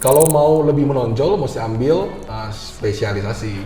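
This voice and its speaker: Echoey reverberant room, man, mellow voice, quick pace, casual interview answers, English terms mixed in. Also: medium pitch, lively intonation. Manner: informal, colloquial, mixes in English terms. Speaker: interview guest, university lecturer. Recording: echoey room with long reverb, music behind.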